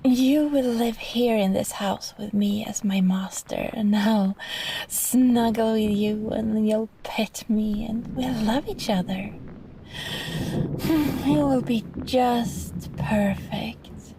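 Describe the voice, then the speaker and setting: A woman voicing a sweet but possessive catgirl vampire in an intimate roleplay monologue, soft and halting, pleading, with small sighs. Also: on the high side, steady tone, vocal fry, background noise. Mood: hushed, hungry, coaxing.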